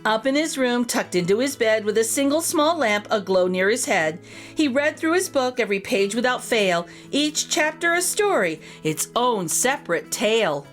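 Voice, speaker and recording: A woman reading a rhyming children's story aloud as an expressive storyteller. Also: on the high side, moderate pace. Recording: voice over background music. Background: music.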